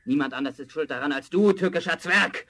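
A man's voice: fairly high pitch, fairly quick, pushed and bright. A man playing a desperate, defiant young charcoal burner in a fairy-tale audio drama, emotional and theatrical. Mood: defiant, blaming.

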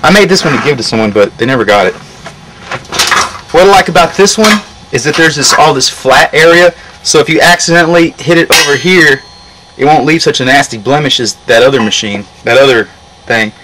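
A man's voice: on the high side, brisk. A young man giving an informal, step-by-step how-to narration outdoors, recorded on a camera mic. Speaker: hobby metalworker demonstrating. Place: outdoor work yard. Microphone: camera mic a short distance away. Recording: noisy outdoor camcorder audio.

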